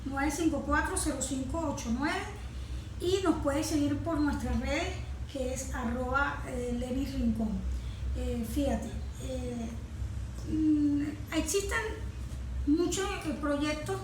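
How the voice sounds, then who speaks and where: High-pitched halting woman. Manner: hesitant, halting, with frequent pauses. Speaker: guest panelist, ecology project specialist. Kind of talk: spontaneous explanatory talk. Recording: roomy echo, faint background noise.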